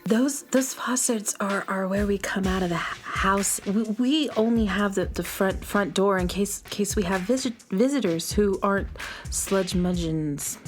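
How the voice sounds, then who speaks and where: A woman's voice: mid-range voice, unhurried, natural voice. Woman as a shy, stammering alien schoolgirl. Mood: shy, nervous. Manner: stammering, hesitant, repeats words. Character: shy, gloomy, kindly young alien schoolgirl. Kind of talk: children's audio drama acting. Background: music.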